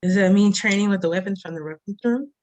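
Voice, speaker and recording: A woman's voice: medium pitch, quick pace, a little mellow, lightly acted. A woman playing a blunt, curious young female friend in a drama script table read. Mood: eager.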